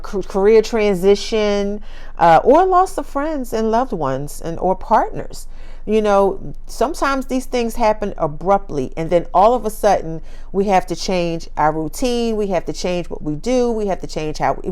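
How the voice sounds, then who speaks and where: A woman's voice: medium pitch, a little mellow, smooth. A woman in her fifties hosting her own channel, talking casually and upbeat, laughing often and repeating phrases for emphasis. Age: 50s. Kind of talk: casual talk to camera.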